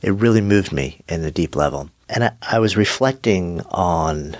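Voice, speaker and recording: Deep-voiced man with a head cold. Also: lively intonation, dry recording, studio quality. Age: middle-aged. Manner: thoughtful, measured, with frequent pauses and fillers. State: has a cold, slightly nasal. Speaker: podcast host. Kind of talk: solo reflective podcast monologue.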